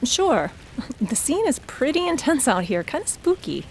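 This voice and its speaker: Woman acting high-voiced field reporter, noisy background. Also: big pitch swings, moderate pace. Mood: uneasy, observant. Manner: conversational, descriptive, tentative. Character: female field reporter, descriptive and slightly uneasy. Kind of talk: radio drama acting as live on-scene report.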